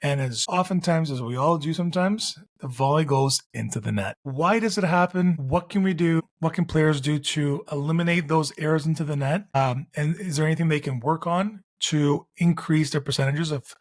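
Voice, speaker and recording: Male podcast host, from Canada, chatty storyteller, a little higher-pitched. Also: moderate pace, hardly any pauses. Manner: chatty storyteller, frequent restarts. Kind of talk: casual interview chat.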